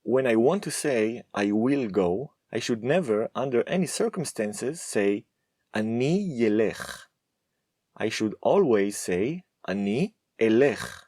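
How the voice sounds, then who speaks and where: A man teaches a Hebrew lesson to camera, measured and emphatic. His voice sits on the low side but moves animatedly up and down. The sound is dry and close. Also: expressive, a little mellow, no room sound.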